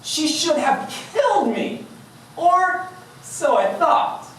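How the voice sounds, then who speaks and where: A man's voice: very high-pitched, very animated, fairly bright tone. Man telling a dramatic tale, echoey and distant. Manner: dramatic delivery with emphatic pauses. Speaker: speech contest competitor. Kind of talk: theatrical tall-tale storytelling speech. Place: large indoor conference room before an audience. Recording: echoey room from far off, faint noise.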